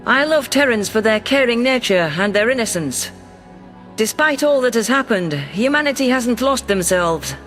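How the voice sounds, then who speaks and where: A man's voice: very high voice, sing-song, normal speed, fairly bright tone, soft background music, pitched above the performer's own voice, full character voice. A man voicing an affectionate, earnest alien governor in a science fiction audio story, speaking in a heartfelt way. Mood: earnest, proud.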